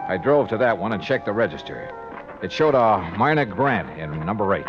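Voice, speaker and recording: An actor playing a wry, insistent American private investigator in 1950s radio drama style, clipped and sardonic. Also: male voice, on the low side, very animated, normal speed. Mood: tense narration. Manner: clipped, sardonic, tough-talking. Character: hard-boiled male insurance investigator, wry and insistent. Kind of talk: 1950s radio detective drama acting and narration. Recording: dull vintage radio recording, music behind.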